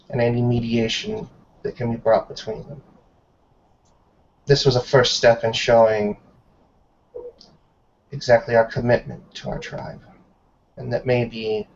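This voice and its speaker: A man voicing a stern, commanding old woman, a tribe elder, in formal measured speech for a tabletop roleplay. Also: mid-range voice, gravelly, recorded from a distance. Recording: dull, lo-fi online call.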